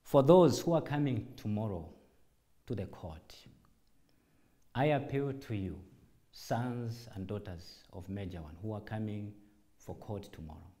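Guy doing a formal church announcement, lowish soft dark voice, deliberate short phrases, stresses his points. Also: animated. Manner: deliberate, emphatic, short measured phrases with repeated appeals. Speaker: church director and apostle giving a public address. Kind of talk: formal public address to church followers.

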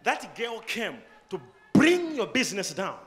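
High, bright-voiced preacher over music. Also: male voice, big pitch swings, a little slow. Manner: questioning, repeats "Huh?", rising to shouts. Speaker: prophet leading a church service. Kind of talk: live prophetic sermon.

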